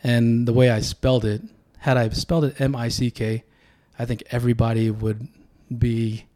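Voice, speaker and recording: Mellow-voiced man telling stories. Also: medium pitch, level tone, moderate pace. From Sacramento, California. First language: Tongan. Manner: reflective, storytelling. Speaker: podcast guest, political candidate. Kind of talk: casual podcast conversation.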